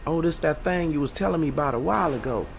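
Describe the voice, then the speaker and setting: A man's voice: on the high side, lively intonation, quick pace, gravelly, slight background noise. A man playing an eager younger street sidekick in an audio drama, talking in casual slang. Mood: interested.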